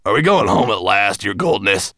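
A man's voice: lowish voice, sing-song, normal speed, pushed and bright, no echo, clean studio sound. A man voicing a timid, servile dwarf who stammers and hesitates. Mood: nervous, servile. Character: timid, servile male dwarf, nervous and bewildered underling.

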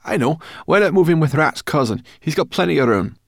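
A man voicing a brash, excitable, bossy female furry creature in a storybook, loud and exclaiming. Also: a little high, brisk, vocal fry, no echo, studio quality, voice pushed up. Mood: brash, casual.

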